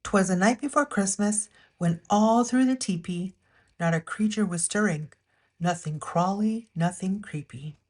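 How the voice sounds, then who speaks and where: A woman's voice: mid-range voice, a little slow, a little mellow. Woman reading a rhyming children's story aloud. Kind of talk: read-aloud rhyming picture-book narration.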